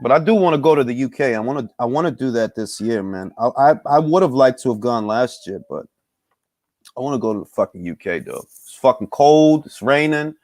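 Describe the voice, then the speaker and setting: Profane, animated New streamer. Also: male voice, mid-range voice, brisk, no room sound, studio quality. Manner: loose, profane, animated banter. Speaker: boxing talk stream host. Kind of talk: casual live-stream chat with viewers.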